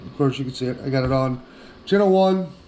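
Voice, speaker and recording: A man's voice: medium pitch, fast, dark tone, highs cut off. Male product reviewer, folksy and plain-spoken, mid-range voice, casual hands-on delivery, faint noise behind.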